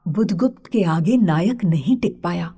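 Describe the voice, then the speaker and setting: A woman narrating a literary story as a scripted storyteller, in a husky, breathy voice. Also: on the low side, expressive, measured pace, dark tone.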